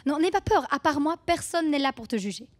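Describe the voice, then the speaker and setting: An actress playing a brisk, encouraging facilitator of a support group in a radio drama. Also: female voice, high voice, lively intonation. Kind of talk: naturalistic radio drama acting.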